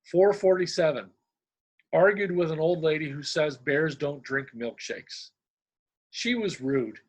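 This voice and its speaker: Deadpan middle-aged man, humor columnist. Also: on the high side. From Indiana. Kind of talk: reading a humorous diary-style column, then casual talk.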